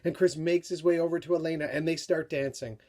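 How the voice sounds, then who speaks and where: A middle-aged man giving an author's talk and read-aloud for young readers, telling it in an animated, dramatic storyteller's manner. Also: fairly high pitch, fast talker. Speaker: children's author reading from his book.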